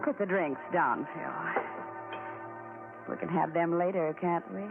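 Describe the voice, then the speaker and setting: A woman's voice: lowish voice, measured pace, gravelly, over loud music. An actress playing a seductive, jittery scheming woman in a 1940s radio drama, with a coaxing, hesitant manner. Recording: tinny, noisy 1940s radio recording.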